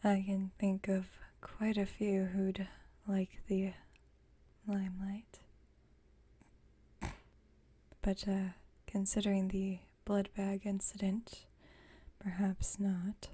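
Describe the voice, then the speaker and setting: A woman acts out a wry, gentle female vampire roommate in an ASMR roleplay. She speaks in a soft, husky whisper with a very even, narrow pitch. Her delivery is unhurried and nostalgic. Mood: dryly amused.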